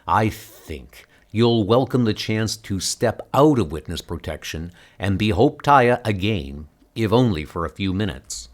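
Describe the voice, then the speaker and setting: A determined, troubled hero, acted by a man for a comic dub. He speaks slowly and earnestly, pressing his point with a moving pitch. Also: lively intonation. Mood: persuasive. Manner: earnest, insistent. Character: determined, anguished male superhero searching for his niece. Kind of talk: comic book dub acting.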